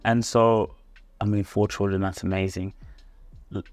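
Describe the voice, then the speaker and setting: A man's voice: lowish voice, flat delivery, measured pace, no echo, soft background music. A man hosting a podcast, asking casual interview questions. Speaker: podcast host.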